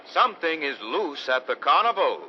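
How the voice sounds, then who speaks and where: An actor playing a grandly mysterious, melodramatic announcer and narrator of an old-time radio serial. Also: male voice, fairly high pitch, sing-song, normal speed, noisy background. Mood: suspenseful. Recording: dull vintage radio recording over loud music.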